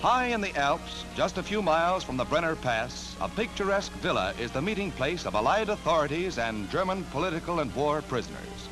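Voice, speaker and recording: Dramatic 1940s newsreel man, animated American voice. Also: on the high side, a little fast, few pauses, low-quality recording. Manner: dramatic, declamatory. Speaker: newsreel narrator. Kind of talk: 1940s newsreel narration, scripted. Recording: archival 1940s newsreel soundtrack. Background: loud orchestral newsreel music.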